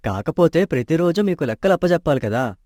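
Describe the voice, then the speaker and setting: A man's voice: on the high side, expressive, fast talker, a little mellow, dry recording, close to an everyday voice. A man voicing a polite, honest young clerk. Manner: polite and deferential. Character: honest, modest young shop accountant. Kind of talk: animated storybook dub. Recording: dry close studio mic.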